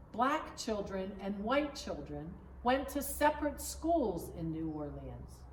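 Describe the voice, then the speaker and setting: Slow, echoey woman reading a storybook. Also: a little high. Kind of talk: slow read-aloud storybook narration. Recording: echoey room, distant mic, faint noise.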